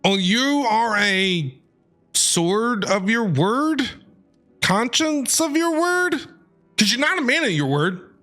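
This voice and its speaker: A man's voice: very high-pitched, sing-song, slow, bright, forceful tone, soft background music. A man casually reacting to a game as a streamer, chatty and jokey. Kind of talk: casual live reaction commentary.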